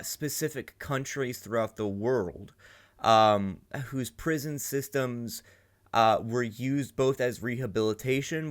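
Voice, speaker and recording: A man hosting a reaction video, rambling and thinking aloud with lots of fillers. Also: medium pitch. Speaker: reaction channel host. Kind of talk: casual reaction commentary.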